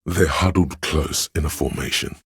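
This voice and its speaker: An extremely low, husky voice plays a grave, all-knowing narrator in a police video game. He is solemn and deliberate with his literary phrasing, and his tone is thick with gravel. The recording is dry and close. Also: a bit bright, dry recording, full character voice. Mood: solemn, brooding. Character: grave, omniscient male narrator voice of police camaraderie. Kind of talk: dramatic scripted game narration.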